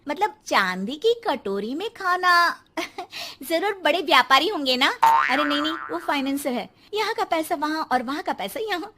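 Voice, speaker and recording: Brief: woman playing a sensible young sister-in-law, high bright voice, teasing. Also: exaggerated voice. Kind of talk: cartoon story dubbing.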